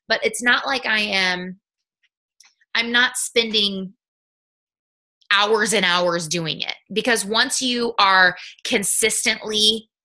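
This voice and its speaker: A woman talking casually on a video call as a guest, upbeat and chatty, with many quick restarts. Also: medium pitch, sharp tone. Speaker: guest sales ambassador. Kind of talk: casual live-stream chat.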